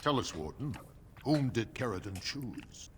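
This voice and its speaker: An actor playing a stern, formal dwarf steward in a video game, speaking with measured, ceremonious authority. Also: male voice, lowish voice, sing-song, background noise. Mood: commanding. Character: stern, formal male dwarf steward presiding over assembly. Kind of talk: video game character acting.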